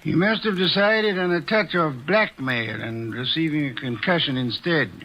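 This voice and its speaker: An actor playing a portly, fastidious male detective in a vintage radio drama, precise and pompous. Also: a little high, close to an everyday voice. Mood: dry, deductive. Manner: precise, pompous, coolly logical. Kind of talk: 1940s radio acting. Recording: dull lo-fi old radio recording.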